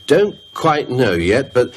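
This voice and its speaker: A man's voice: mid-range voice, very animated, slow pace. A man playing a level-headed, thoughtful investigator of an occult mystery in an audio horror drama. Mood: puzzled, thoughtful.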